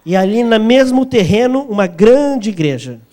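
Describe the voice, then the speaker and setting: A man with a Brazilian accent interpreting a sermon phrase by phrase, sometimes halting as he searches for wording. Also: sits high, expressive, mellow.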